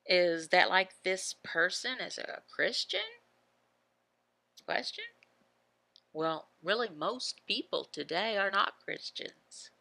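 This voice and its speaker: A woman giving a devotional Bible teaching in short, halting phrases, often asking questions and answering them herself. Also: on the low side, animated, creaky, sharp tone, no echo. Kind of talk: devotional teaching voicing a signed sermon.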